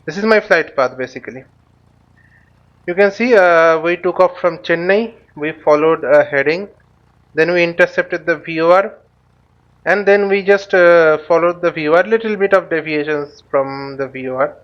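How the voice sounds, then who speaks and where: A slightly dull, lo-fi recording of a man with a fairly high voice. He gives a casual walkthrough as a tutorial host, thinking aloud in short, halting phrases. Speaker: host of a flight simulator tutorial. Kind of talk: casual spoken walkthrough while flying a simulator. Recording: dull, lo-fi microphone sound.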